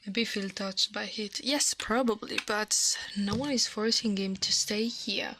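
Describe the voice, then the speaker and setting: Woman ASMR livestream host, medium pitch, breathy whispery delivery, slow murmur, close mic, slightly noisy recording.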